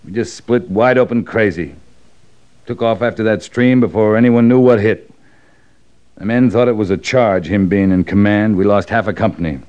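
An actor playing a hard, bitter middle-aged cavalry officer in a radio Western, with a clipped, restrained way of talking. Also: male voice, lowish voice, normal speed, a little mellow, clean tone, dry recording, lightly acted. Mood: bitter, brooding. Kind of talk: old-time Western radio drama acting. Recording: dull, band-limited old radio recording.

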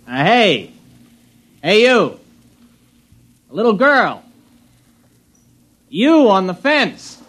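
An actor playing a testy, put-upon young American university student in a 1950s radio drama. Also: male voice, high voice, big pitch swings, drawn-out words, long pauses, fairly bright tone, full character voice. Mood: irritated. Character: exasperated young male university student, grumbling but soft-hearted. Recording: noisy vintage radio recording.

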